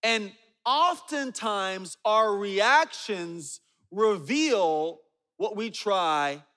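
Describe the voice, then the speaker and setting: A man in his forties preaching a sermon, telling stories with humor and dropping low and quiet for asides. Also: lively intonation, very slow. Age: 40s. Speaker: pastor. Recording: reverberant hall.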